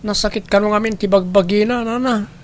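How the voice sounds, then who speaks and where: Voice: medium pitch, steady tone, fairly quick, natural voice. An actor playing a poor, hardworking young boy in a radio drama, respectful and pleading. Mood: respectful, earnest. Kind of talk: Ilocano radio drama acting.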